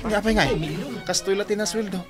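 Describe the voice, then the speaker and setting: A man's voice: high-pitched, level tone, brisk, over loud music, close to an everyday voice. A man with an Ilocano accent playing a feckless, show-off young borrower in a Philippine radio drama, wheedling and evasive. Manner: wheedling, evasive, hesitant grunts.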